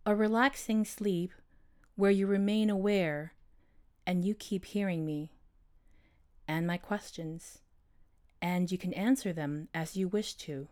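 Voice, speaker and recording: A man voicing a gentle, wise female owl hypnotist in a storybook, with a soft, smooth, calm and soothing delivery. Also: sits high, takes it slowly, pauses often, pitched above the performer's own voice. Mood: soothing, hypnotic. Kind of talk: storybook character voice.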